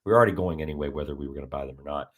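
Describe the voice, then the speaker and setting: Deep-voiced man hosting a sports podcast. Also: very fast, phone-quality sound. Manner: rambling, lots of "like" fillers and restarts. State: slightly raspy from heavy talking. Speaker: podcast host. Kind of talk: casual sports podcast chat.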